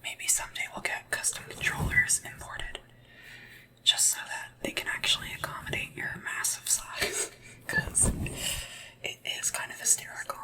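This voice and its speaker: A performer whispering in a breathy, soothing, chatty way, playing a gentle sleep assistant to royalty in an ASMR roleplay. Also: moderate pace, far from the mic, rough recording. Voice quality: breathy, mostly unvoiced whisper. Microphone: close ASMR microphone, ear to ear.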